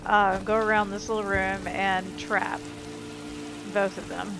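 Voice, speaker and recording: A woman commentates casually on a video game as she plays. Her voice is mid-pitched for a woman, and she talks informally to her viewers. Clear game music runs underneath. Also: little pitch movement, unhurried, poor audio. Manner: informal, talking to viewers while playing. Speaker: gameplay commentator. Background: game music and sound effects.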